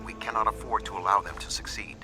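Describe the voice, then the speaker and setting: A man playing an older, composed alien admiral in a video game, formal, measured and unemotional. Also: fairly low pitch, steady tone, over music, close to an everyday voice. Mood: calm, grave.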